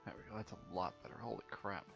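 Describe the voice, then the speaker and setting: A man's voice: low voice, quick pace, creaky. A young man doing casual let's play commentary and reading game text aloud, informal and stumbling over repeated words. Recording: dull lo-fi mic with music behind. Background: game music.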